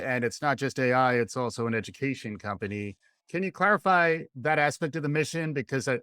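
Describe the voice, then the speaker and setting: A man hosts an interview podcast, asking questions in a friendly, measured way and affirming his guest with short remarks. His voice sits in a middle pitch. The recording is dry and close. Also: fairly bright tone, smooth, no echo. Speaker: podcast host.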